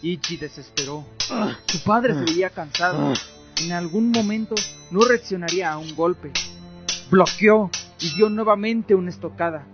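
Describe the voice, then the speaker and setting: A man's voice: high-pitched, normal speed. A man narrating a scripted radio drama in a solemn, theatrical way. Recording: muffled lo-fi audio, music behind. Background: music.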